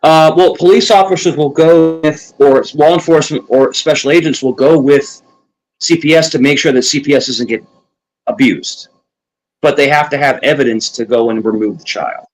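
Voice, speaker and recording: A man's voice: a little high, moderate pace, rough recording. A man from New York co-hosting a casual talk show, earnest and opinionated, often repeating himself. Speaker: co-host.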